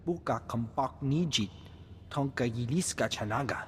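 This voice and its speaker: Male alien played by a man, invented language, businesslike game dialogue, medium pitch, long reverb. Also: normal speed, a bit bright, slight background noise, lightly acted.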